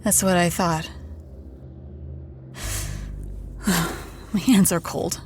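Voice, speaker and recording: An actress playing a haunted woman in an audio drama, confiding a ghost story in an anxious, halting, repetitive way. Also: lowish voice, fairly even intonation, slight background noise, lightly acted. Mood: uneasy, insistent.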